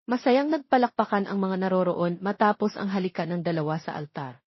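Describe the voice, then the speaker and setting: A woman's voice: mid-range voice, fairly quick, slightly rough, no echo. A woman narrating a dramatic story from a script as a voice-over. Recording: dull lo-fi audio, very dry.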